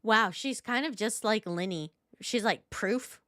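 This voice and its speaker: A woman's voice: medium pitch, a little slow, fairly bright tone, no echo, clean studio sound. A woman game streamer giving casual, playful, gushing live reaction commentary.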